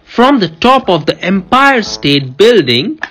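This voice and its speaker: A woman reading a children's picture book aloud in an expressive, playful, teacherly way, pausing to put questions to a child. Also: medium pitch. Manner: expressive, playful, teacherly, repeats answers and prompts. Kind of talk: animated read-aloud storytelling with questions to a child.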